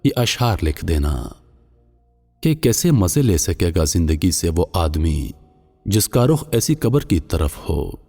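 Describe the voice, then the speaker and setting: Moral-story father, played by a male narrator: solemn, admonishing, unhurried, heavy reverb over music. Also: low-pitched, vocal fry, dark tone, slightly rough, exaggerated voice. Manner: grave, admonishing. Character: solemn dying father counselling his son, later a dream spirit. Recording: long cavernous reverb, music underneath.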